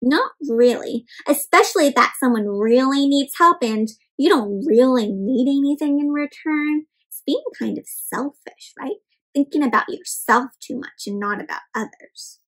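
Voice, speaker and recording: A woman storyteller reading a picture book aloud to young children, animated and expressive, putting questions to them and explaining words. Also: high-pitched, normal speed, poor audio. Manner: dramatic gasps and exclamations.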